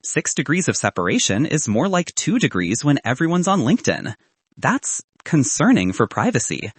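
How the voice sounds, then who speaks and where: Audiobook role: confident young woman entrepreneur read by a man. Dry banter, animated, husky edge. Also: fairly high pitch, normal speed, no room sound, voice pushed up. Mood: dry, teasing. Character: confident, teasing young woman, a sharp student founder.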